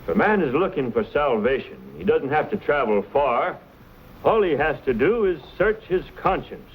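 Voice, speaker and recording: Earnest frontier preacher, tinny sound. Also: male voice, a little high, animated. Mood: earnest preaching. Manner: measured, formal, gently pious. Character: earnest, courteous frontier preacher, a family man. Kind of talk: mid-century Western drama acting. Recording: tinny, clearly noisy old broadcast recording.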